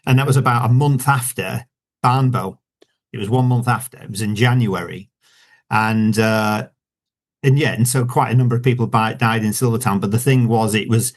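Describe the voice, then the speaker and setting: A man with a Yorkshire accent, an author as a guest, talking in a rambling, casual way with many fillers and restarts. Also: mid-range voice. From Yorkshire, England. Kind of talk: casual interview chat.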